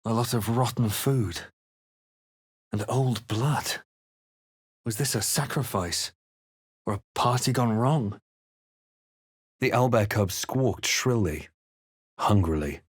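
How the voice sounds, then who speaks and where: A man voicing a composed, observant, brooding adventurer in a fantasy video game, with measured, theatrical delivery. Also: lowish voice, expressive, slightly rough, dry recording, studio quality, heavily acted. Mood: solemn, watchful. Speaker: video game player-character voice. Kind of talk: dramatic first-person narration and character barks.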